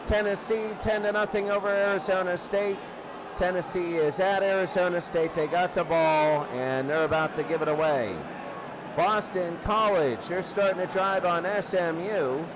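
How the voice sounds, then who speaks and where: A man calling football play-by-play, getting excitable when the action picks up. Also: sits high, normal speed. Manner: excitable on big plays. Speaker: play-by-play sports commentator. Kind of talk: American football game commentary. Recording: clear background noise.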